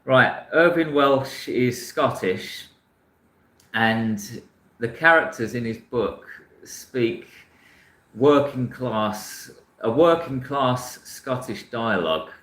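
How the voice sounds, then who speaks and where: A man's voice: medium pitch, a bit bright, echoey room, low-quality recording. A man from South London chatting casually about books, rambling and frank, often restarting his sentences. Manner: rambling, self-correcting, frank. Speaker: book channel host. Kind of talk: casual chat reading viewer comments.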